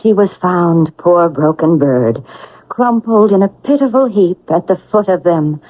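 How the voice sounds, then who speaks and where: A woman's voice: fairly low pitch, expressive, unhurried. An actress in a radio drama playing a cold, scheming, sardonic woman with a theatrical, calculating manner. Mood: cool, plotting. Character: cold scheming housekeeper's daughter plotting murder, sardonic woman. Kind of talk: 1970s radio drama acting. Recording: muffled, lo-fi old radio broadcast.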